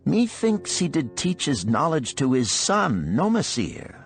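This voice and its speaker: A man voicing an earnest, animated musician of ancient Babylon in a storybook parable, with archaic, oratorical phrasing. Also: a little high, a little slow, vocal fry, faint music behind, voice pushed up, heavily acted. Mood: eager.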